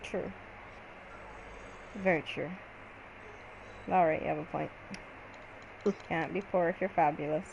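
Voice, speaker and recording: A woman's voice: low voice, steady tone, slow, long pauses, darkish tone, highs cut off. A woman chatting casually with friends as she plays a game, playful and slangy, often thinking aloud. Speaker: gaming vlogger. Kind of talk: casual gaming chat with friends. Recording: clear background noise.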